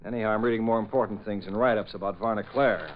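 Actor as dry, knowing newsman, male, rapid delivery, dull old radio sound with faint noise. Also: on the low side, fairly even intonation, lightly acted. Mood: dry, serious. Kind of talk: 1940s radio drama acting. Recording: muffled, faintly noisy vintage radio recording.

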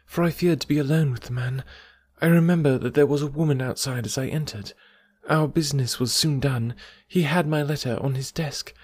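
A man reading an audiobook, voicing an anxious, noble young woman making a confession in a measured, softened way. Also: a little high, husky, no echo, voice pushed up. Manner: earnest, measured, softened delivery.

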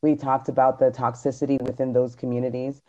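Mellow-voiced man, emphatic podcast chat. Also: mid-range voice, flat delivery, fairly quick, lo-fi sound. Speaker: podcast co-host. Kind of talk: casual group chat on a live stream.

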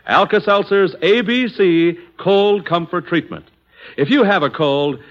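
A man's voice: on the high side, a bit bright. An American man announcing in the emphatic, folksy sales style of 1940s radio advertising. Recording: dull vintage 1940s radio recording.

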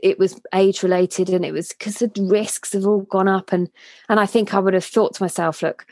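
Female co-host, 30s, middle pitch, reflective and conversational, tight dry mic. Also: fairly even intonation, normal speed, darkish tone, no echo. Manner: reflective, many restarts and trailing phrases. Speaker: podcast co-host. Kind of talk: casual heartfelt conversation.

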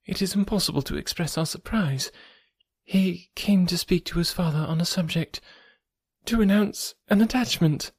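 A reader voicing a nervous middle-aged woman with a trembling, hushed voice in an audiobook. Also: mid-range voice, normal speed, husky, pitched above the performer's own voice. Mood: anxious. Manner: hesitant, hushed, half-whispered. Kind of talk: audiobook character voice.

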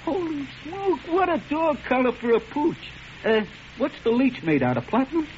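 An actor playing an excitable American hoodlum sidekick with streetwise slang in a 1940s radio drama. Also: male voice, very high-pitched, moderate pace. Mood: amazed. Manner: slangy, streetwise gangster talk. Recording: dull, hissy old radio recording.

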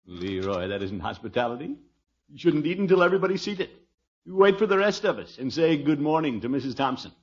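A man's voice: on the high side, sing-song. A man playing a fussy, genial middle-aged uncle with a blustery, sputtering delivery in a 1940s radio comedy. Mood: mildly scolding. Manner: blustery, sputtering, with drawn-out exclamations. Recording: muffled, noisy old radio recording.

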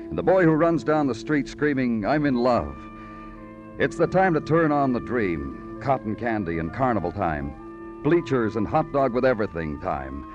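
An actor playing a world-weary, poetic police detective in an old radio crime drama, speaking in clipped, lyrical phrases. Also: male voice, fairly low pitch, lo-fi sound. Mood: wry, lyrical. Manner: clipped, brooding, lyrical narration. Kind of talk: 1940s-50s radio noir acting. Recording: old radio recording with music.

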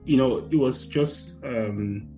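A man's voice: medium pitch, slow pace, mellow, faint music behind, rough recording. A man hosting a podcast, asking his guest loose, meandering questions. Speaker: podcast host. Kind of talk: casual podcast interview.

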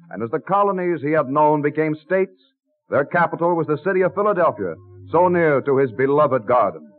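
A man narrating an old-time radio drama from a script in a formal, stately manner. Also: on the high side. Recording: muffled old radio broadcast with music.

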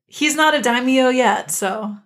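Higher-pitched woman co-hosting a podcast. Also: measured pace, fairly bright tone. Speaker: podcast co-host. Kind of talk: casual fan discussion.

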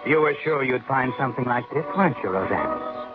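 An actor playing a knowing, insinuating narrator of a 1950s radio suspense drama. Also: male voice, medium pitch, expressive, a little fast, music in the background, lightly acted. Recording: muffled 1950s radio recording.

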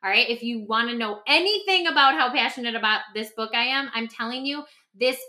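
A woman speaking emphatically and conversationally as a podcast guest, explaining self-help ideas in an animated way. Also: a little high. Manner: emphatic, acts out example dialogue. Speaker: podcast guest and author discussing their own book.

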